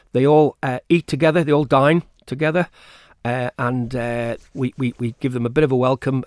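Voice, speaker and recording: A man's voice: mid-range voice, no room sound, studio quality. A man speaking as an event organiser in an informal radio interview, repeating words hesitantly as he explains. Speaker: choir festival organiser, interview guest.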